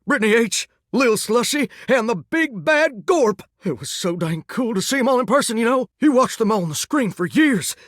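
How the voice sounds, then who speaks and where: A man's voice: very high voice, expressive, moderate pace, few pauses, fairly bright tone, no echo, clean studio sound, full character voice. A man with a Southern US accent voicing a folksy, earnest, starry-eyed singing shower in a dating game, drawling homespun sayings. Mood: excited, dreamy. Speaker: voice actor in a dating sim game. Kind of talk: expressive video game character dialogue.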